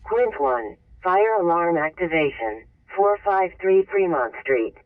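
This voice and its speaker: Slow high-pitched male radio dispatcher. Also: animated, dry recording. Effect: two-way radio. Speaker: fire dispatcher. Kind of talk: formal dispatch announcement. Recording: narrow phone-like radio, distant speaker.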